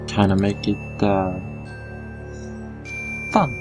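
A man with a Swedish accent giving relaxed let's-play commentary, thinking aloud as he plays. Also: on the low side, over loud music. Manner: relaxed, thinking aloud while playing, slow with fillers. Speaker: gameplay commentator.

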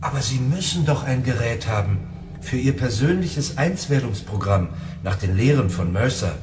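An actor playing a lonely, shy and earnest neighbour in a radio drama, speaking hesitantly. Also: male voice, medium pitch, expressive, normal speed, background noise, natural voice. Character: lonely, eager, shy simple-minded male neighbour. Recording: echoey room with music behind.

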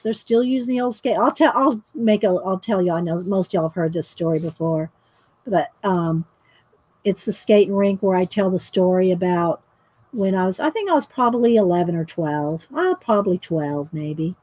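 A woman's voice: mid-range voice, normal speed, dark tone, no echo, poor audio. A middle-aged woman, chatting and laughing often as she tells a story while painting. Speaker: painting vlogger. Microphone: overhead camera mic, speaker off screen.

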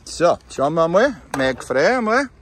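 A man's voice: fairly high pitch, very animated, moderate pace, a little hiss or hum. A man with a Viennese accent chatting casually as a CB radio hobbyist outdoors, often ending phrases with tag questions. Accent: Viennese Austrian. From Austria. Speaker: CB radio hobbyist filming a vlog. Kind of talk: casual radio chat and commentary. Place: outdoors by bushes on a roadside. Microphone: handheld phone filming.

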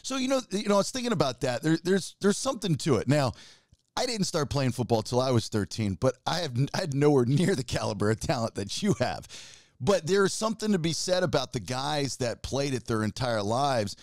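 Man in his forties, podcast host, enthusiastic, expressive pitch, dry studio sound. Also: a little fast, no room sound. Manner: enthusiastic, talks with his hands. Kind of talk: casual interview chat. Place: home studio room. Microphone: studio mic with headphones.

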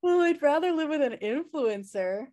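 A woman's voice: high voice, expressive, normal speed, mellow. Wry, slacker twin sister, acted by a woman. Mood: wry, exasperated. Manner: wry, sarcastic. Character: messy, chill, quick-witted underachiever twin sister. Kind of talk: table read of a comedy script. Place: home room, video call.

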